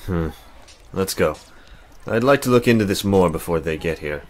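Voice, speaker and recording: A man's voice: sits low, lively intonation, normal speed. A man playing a brooding, absent-minded necromancer in a visual novel, curt and preoccupied. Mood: preoccupied, measured.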